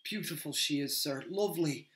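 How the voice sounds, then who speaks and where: A man's voice: fairly high pitch, moderate pace, fairly bright tone, voice pushed up, close to an everyday voice. A man voicing a humble, loyal male hobbit gardener who talks in a rustic, rambling way. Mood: eager. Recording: roomy echo.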